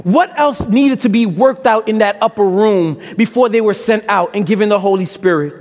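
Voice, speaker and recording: A man's voice: sits high, moderate pace, darkish tone. A young man from Brooklyn with a Brooklyn accent preaching a sermon on a campus, with impassioned rhetorical questions and a little casual slang. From Brooklyn, New York. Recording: long reverb in a large hall.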